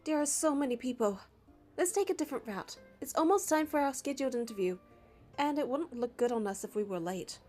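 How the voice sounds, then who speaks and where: Female narrator, high voice, scripted game story reading with music underneath. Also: lively intonation, brisk, darkish tone. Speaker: narrator and reader of a game playthrough. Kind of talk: scripted story narration with dialogue read aloud. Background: background music.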